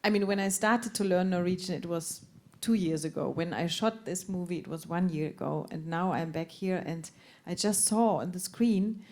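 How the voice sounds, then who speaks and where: A woman's voice: on the low side, fairly even intonation, normal speed, few pauses, darkish tone. Hesitant woman actress with lots of restarts. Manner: hesitant, laughing, many restarts. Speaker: actress at a festival panel. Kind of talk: panel talk.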